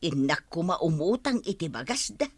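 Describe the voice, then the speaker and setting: A woman's voice: fairly low pitch, very animated, moderate pace, husky, dry recording. An actress with an Ilocano accent playing a complaining, stubborn village woman in a radio comedy drama.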